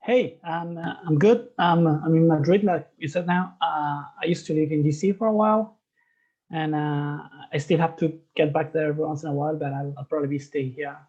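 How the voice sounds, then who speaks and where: Man from Spain, slightly high voice, relaxed, lo-fi call audio. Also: fairly quick. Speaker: new member. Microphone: video call.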